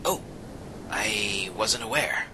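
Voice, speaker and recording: A man's voice: on the low side, slow pace, noisy background. A man playing a dry, wry mercenary in an audio drama. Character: sardonic male mercenary briefing his team.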